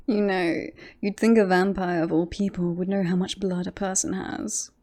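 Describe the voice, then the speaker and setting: A woman's voice: lowish voice, level tone, mellow, lightly acted. A woman playing a smug, possessive female werewolf in an ASMR roleplay, teasing and mocking as she coaxes her beloved human. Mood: amused, taunting. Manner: teasing, mocking, drawn-out coaxing delivery.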